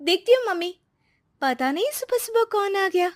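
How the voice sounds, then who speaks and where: A woman voicing a young daughter-in-law in a family drama, dutiful, brisk and assertive. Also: very high voice, lively intonation, pitched above the performer's own voice.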